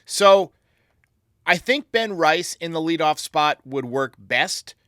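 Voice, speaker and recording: A man with a bright, forceful voice makes his case with emphasis and conviction as he answers fan voicemails. His voice sits a bit higher than most men's. The sound is close and dry, with no room around it. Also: animated, slow, clean tone, no echo. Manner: emphatic, argumentative. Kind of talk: casual opinionated sports talk.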